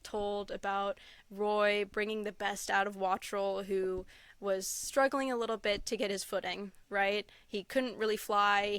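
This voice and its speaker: A woman's voice: mid-range voice, fairly bright tone, smooth, dry recording. A woman guest on a podcast chatting casually, gushing and laughing often, with lots of "like" and "um". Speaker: podcast guest, content creator. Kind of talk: casual interview chat.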